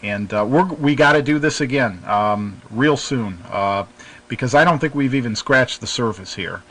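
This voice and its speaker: A man's voice: mid-range voice, normal speed, fairly bright tone. A man hosting a live internet radio show in a casual, hesitant, informal way.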